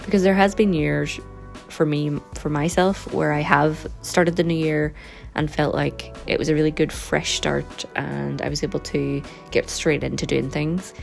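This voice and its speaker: Low, gravelly woman. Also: measured pace, soft background music. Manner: relaxed and rambling, with frequent ums. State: slightly hoarse and croaky. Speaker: vlogger and sticker and journal maker. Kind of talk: casual chatty vlog.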